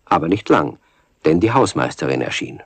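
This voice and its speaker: A man's voice: low voice, sing-song, moderate pace. A man narrating a scripted children's radio story as the storyteller.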